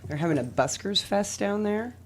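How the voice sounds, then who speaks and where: A woman's voice: medium pitch, animated, moderate pace, husky. A woman hosting a community radio show, upbeat and affirming, speaking straight to her listeners.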